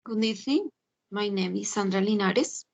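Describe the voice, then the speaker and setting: Woman in her 40s, gravelly voice, stumbles and repeats words reading english. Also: mid-range voice, normal speed. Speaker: English learner. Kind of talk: reading a class presentation.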